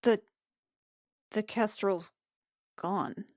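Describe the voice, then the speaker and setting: A woman playing an anxious young medic in a tabletop game, halting and stammering, repeating her words. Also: mid-range voice, a little mellow, no room sound, clean studio sound. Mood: stunned grief. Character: rattled, anxious young woman medic and ship's crew member.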